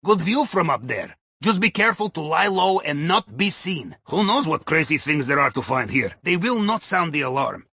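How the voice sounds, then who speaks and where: A wry, jovial Russian man planning heists in a video game. His voice is high and husky, with very animated intonation. He chats in droll, folksy broken English with a thick accent. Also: moderate pace, few pauses, no room sound, clean studio sound, exaggerated voice. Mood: advising, cautionary. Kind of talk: video game mission dialogue over radio.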